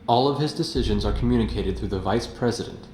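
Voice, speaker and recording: An actor playing an earnest, sober war journalist in scripted video game narration and dialogue. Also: male voice, fairly low pitch, fast talker, darkish tone, a little hiss or hum, close to an everyday voice. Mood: confiding.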